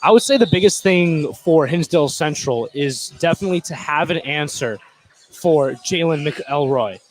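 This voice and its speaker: A young man with a fairly high voice serves as color commentator on live basketball. His analysis is casual and conversational. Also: expressive, moderate pace, rough recording. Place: courtside in a high school gym.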